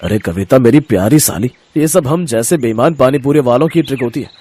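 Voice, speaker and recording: A man's voice: medium pitch, animated, a little fast, warm tone, slight background noise, close to an everyday voice. A man playing a jealous, greedy shopkeeper brother-in-law in a moral story cartoon, grumbling and justifying himself. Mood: sly.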